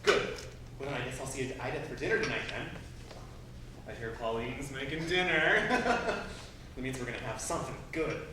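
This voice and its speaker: An actor playing a hearty, easygoing small-town friend in a stage play, talking in a chummy, eager, folksy way. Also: male voice, medium pitch, lively intonation, brisk, bright, forceful tone. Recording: echoey stage from far off, noisy.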